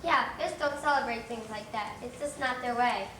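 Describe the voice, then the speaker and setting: A woman's voice: on the high side, moderate pace, recorded from a distance, lightly acted. A woman playing a skeptical, scoffing young fish classmate in a children's stage play. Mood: dismissive. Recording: echoey, noisy hall from afar.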